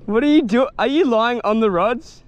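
A young Australian man excitedly narrating while wading and fishing in mangrove shallows outdoors, laughing often and talking in loose slang. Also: very high-pitched, normal speed, muffled recording. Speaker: fishing vlogger. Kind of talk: casual on-the-spot narration.

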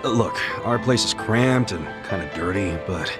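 A man's voice: fairly low pitch, lively intonation, a little slow. An actor voicing a good-natured, plain-spoken, loyal man in a video game, talking casually. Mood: sheepish, hesitant. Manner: casual, colloquial. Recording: music behind the voice.

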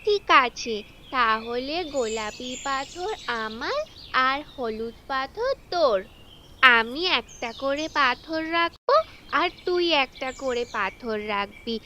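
A woman voicing a young doll elder sister in a children's puppet show, explaining things simply and deliberately, sweet but a little bossy. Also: sits high, animated, bright, forceful tone, a little hiss or hum, full character voice. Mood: playful, instructing.

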